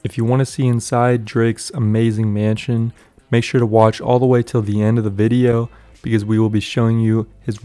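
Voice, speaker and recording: Male voice-over narrator, promotional read, warm low tone, dry sound. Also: smooth, no room sound.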